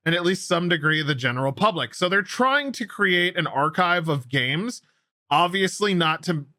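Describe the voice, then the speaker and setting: A man with a fairly high, bright voice hosts gaming news in a dry-sounding recording. He talks at length and informally, explaining each item as casual commentary. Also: dry recording. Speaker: host of a gaming news show.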